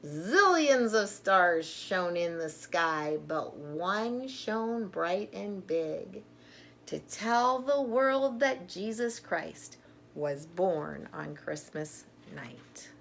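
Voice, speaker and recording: A woman's voice: lowish voice, expressive, drawn-out words, creaky, fairly bright tone, slight background noise. A woman storyteller reading a children's story aloud with simple, emphatic phrasing and informal asides to young listeners.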